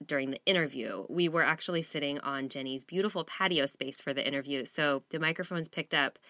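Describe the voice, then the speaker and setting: A woman's voice: deep voice, bright, forceful tone, no echo, clean studio sound. A woman hosting a podcast in a chatty, upbeat manner, a little stuffy from a cold, recorded in a small closet. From Seattle area. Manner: chatty, upbeat, self-deprecating. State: has a cold. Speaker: podcast host. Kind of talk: casual podcast intro and interview.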